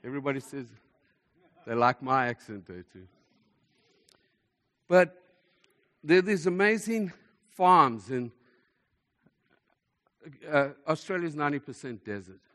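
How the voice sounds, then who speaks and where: A man's voice: on the high side, big pitch swings, a little slow, pauses often. A man preaching a sermon in an emphatic manner, repeating phrases for effect as a preacher to a congregation. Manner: emphatic, repeats phrases for effect, swings between hushed and forceful delivery. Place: large hall, speaking to a congregation. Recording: echoey hall with long reverb.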